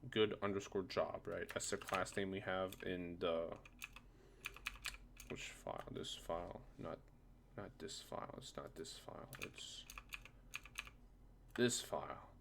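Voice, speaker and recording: A man with a low, creaky voice walks through a software tutorial. He is informal and hesitant, thinking aloud. Faint noise and keyboard typing sit behind him. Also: unhurried, long pauses. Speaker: tutorial presenter. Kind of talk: casual screen-recorded software tutorial. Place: indoors at a computer. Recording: noisy home desktop recording, keyboard clicks. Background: keyboard typing, background noise.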